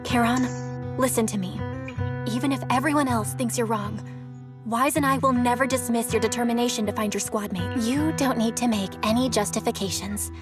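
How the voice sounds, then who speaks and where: Woman acting a higher-voiced, steadfast young woman. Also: fairly even intonation, normal speed, music in the background, natural voice. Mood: earnest, reassuring. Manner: earnest, reassuring. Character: caring, steadfast young woman, a supportive ally. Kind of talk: English video game voice acting.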